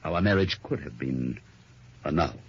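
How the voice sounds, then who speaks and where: Very deep, raspy, suave gentleman suspect. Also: animated, moderate pace, exaggerated voice. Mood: guarded. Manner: polished, courteous, evasive. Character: suave, guarded gentleman, murdered woman's husband and suspect. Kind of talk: old-time radio drama acting. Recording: muffled old radio recording.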